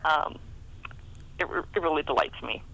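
A woman's voice: deep voice, moderate pace, gravelly, a bit bright, a little hiss or hum, poor audio. A middle-aged woman chatting casually as a novelist being interviewed, often chuckling as she talks. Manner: chuckles often while talking. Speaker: guest, romance novelist.

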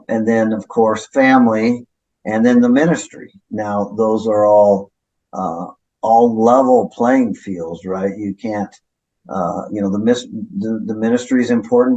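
Middle-aged male pastor, low voice, reflective and low-key, often asks "right?". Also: a little mellow, lo-fi sound. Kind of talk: conversational interview.

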